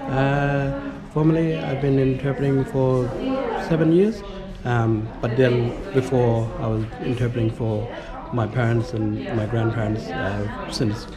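A man with a middle pitch speaks softly and hesitantly, his first language Martu. He pauses on fillers as he talks. A loud crowd murmurs behind him. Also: steady tone, low-quality recording. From Western Australia. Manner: soft-spoken, hesitant, with fillers. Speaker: interpreter. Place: indoor conference venue.

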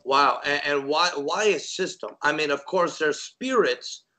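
A man in his thirties with a bright, forceful, fairly high voice hosts an interview. He is encouraging and drops in short affirmations as he leads the conversation. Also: unhurried. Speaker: host interviewer.

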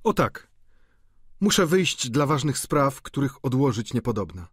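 A man's voice: mid-range voice, big pitch swings, measured pace, breathy edge, no room sound, studio quality, voice pushed up. A man voicing an earnest young male student in a storybook reading, speaking raised and urgent.

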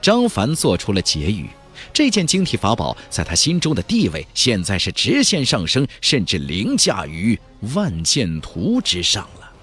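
A man's voice: on the low side, wildly swooping pitch, normal speed, fairly bright tone, husky, faint music behind. A man narrating a fantasy audiobook in a dramatic, scripted style.

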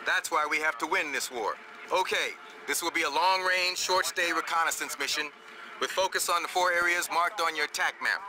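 A man plays a hard-bitten officer in a war game. He briefs troops bluntly in a fairly high voice, all business, with noise clearly audible behind him. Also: normal speed, lightly acted. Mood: urgent, businesslike. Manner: blunt, businesslike, full of jargon. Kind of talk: military briefing in a video game.

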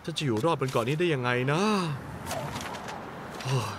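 A man's voice: medium pitch, moderate pace, background noise, close to an everyday voice. An actor voicing a young, good-natured male castaway in a cartoon dub, easygoing and chatty with animals. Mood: cheerful. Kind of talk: cartoon dubbing.

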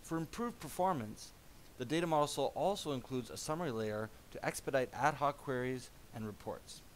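A man narrating a software product demo as a voice-over, in a measured explanatory tone. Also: medium pitch, animated, slight background noise.

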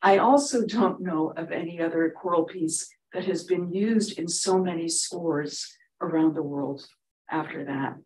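A woman, a conductor whose first language is Ukrainian, giving a measured academic talk on a panel in a seminar room. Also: on the low side, a little mellow, distant mic, rough recording. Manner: measured, precise lecturing.

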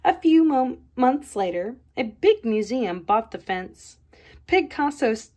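A woman art educator reading a children's picture book aloud, expressive and playful. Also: fairly high pitch. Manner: expressive storybook reading with playful voices for quotes.

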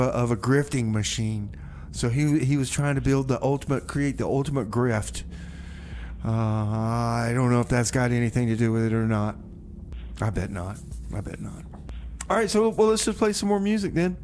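A man's voice: medium pitch, a little mellow, slight background noise. A man hosting a community radio music show, back-announcing songs in a rambling, wry way. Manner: rambling, self-interrupting, wry. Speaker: radio DJ and host. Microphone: studio microphone.

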